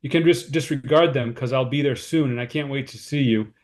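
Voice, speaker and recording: A man's voice: medium pitch, brisk, a little mellow, natural voice. A man acting the part of a harried, considerate cousin, earnest and slightly fretful, in a screenplay read-through. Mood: anxious, upbeat. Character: David, harried, anxious, considerate male cousin. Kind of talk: informal screenplay table read, naturalistic dialogue.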